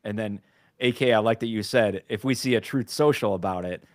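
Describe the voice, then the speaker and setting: A man co-hosting a podcast, telling stories in an animated way with lots of "like". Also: lowish voice, brisk, no echo, clean studio sound. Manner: animated storytelling, full of "like". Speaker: podcast co-host. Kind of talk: casual panel chat.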